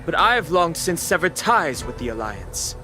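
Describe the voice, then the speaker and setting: A man's voice: on the high side, very animated, normal speed, sharp tone. An actor voicing a stern, principled young spearman in a video game, speaking formally and resolutely. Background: music.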